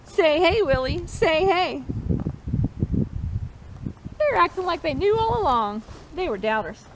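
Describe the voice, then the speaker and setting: High-pitched woman reading to children. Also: sing-song, takes it slowly, background noise. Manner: encouraging, chanting refrains for listeners to repeat. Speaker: storytime host reading a picture book to children. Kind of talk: interactive read-aloud for children.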